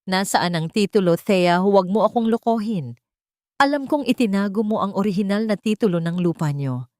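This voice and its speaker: A woman's voice: medium pitch, moderate pace, a little mellow, no room sound, studio quality, lightly acted. A woman voicing a greedy, menacing older mother-in-law in an audio story, with a Filipino accent. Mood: hostile, demanding. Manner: menacing, demanding.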